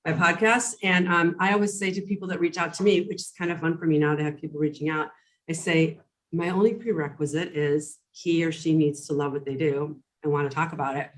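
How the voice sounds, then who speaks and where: A woman's voice: low-pitched, fairly even intonation, fairly quick, rough recording. A woman hosting a podcast interview over a video call, bubbly and enthusiastic, rambling with fillers. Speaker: podcast host. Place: Chicago.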